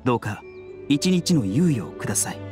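A man playing a gentle young physician in an anime game, composed, polite and formal in his measured delivery. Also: mid-range voice, big pitch swings, close to an everyday voice. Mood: calm, courteous. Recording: background music under voice.

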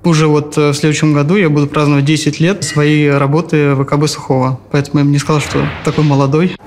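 A man's voice: fairly high pitch, level tone, quick pace, a little mellow, slight background noise. A man in his thirties, an aircraft designer, telling his story earnestly in a studio interview. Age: 30s. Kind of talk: interview testimonial. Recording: music bed under voice. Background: music bed.

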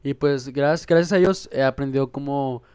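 A man's voice: mid-range voice, brisk, a little mellow, smooth. A young man, a musician guest in a casual podcast chat, easygoing with hesitant fillers, on a handheld mic in a small room. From Mexico. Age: young adult. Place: small room, seated on a couch. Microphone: handheld microphone.

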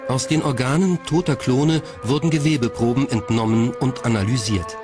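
A man's voice: medium pitch, normal speed, music in the background. A man reading scripted documentary narration as the narrator.

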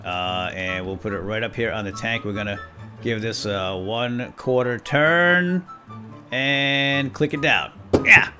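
A man's voice: lowish voice, slow, sharp tone, soft background music. A man hosting a let's play with casual, folksy, chatty commentary and light role-play, talking directly to viewers.

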